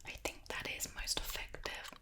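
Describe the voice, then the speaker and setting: A woman whispering breathily in an ASMR roleplay, with a fussy, deliberate, mock-scolding manner. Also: pushed and bright. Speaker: ASMR creator playing a screen cleaner.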